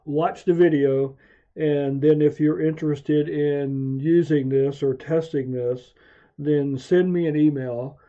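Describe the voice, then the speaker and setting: A man with a very dark, mellow voice walks through a software tutorial. He speaks slowly and methodically at a medium pitch. The recording is dull and lo-fi. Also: fairly even intonation. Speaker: amateur radio hobbyist hosting video tutorials. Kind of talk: software walkthrough narration for a screen tutorial. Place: home radio shack. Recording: dull, muffled lo-fi voice track.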